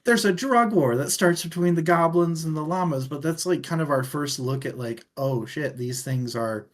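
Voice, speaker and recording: A man's voice: on the high side, normal speed. A man co-hosting a book discussion show, chatting casually and enthusiastically, with the odd swear word. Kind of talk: casual book chat, reading out listener comments.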